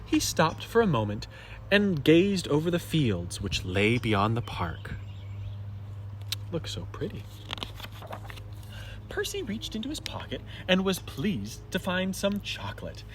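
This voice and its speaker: Very animated man reading a children's story. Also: on the high side, unhurried, slight background noise. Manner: dramatic, emphatic storytelling. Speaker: storybook reader. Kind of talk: expressive children's story narration.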